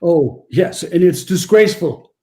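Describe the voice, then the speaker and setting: An actor playing a dignified, easily indignant old gentleman with a formal, scolding manner in a radio play. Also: a little high, lively intonation, a little slow. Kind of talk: 1940s-style radio play acting.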